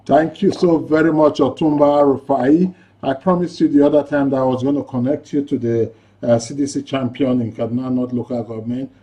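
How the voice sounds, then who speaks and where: Guy hosting a radio phone-in, warm mellow voice, mid pitch. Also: steady tone, a little fast. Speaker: radio show host. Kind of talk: live call-in radio hosting.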